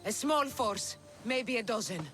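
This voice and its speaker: An urgent male soldier, reports in a very high, forceful voice. The delivery is video game drama. Faint music sits behind him. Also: measured pace, full character voice. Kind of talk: video game cutscene acting.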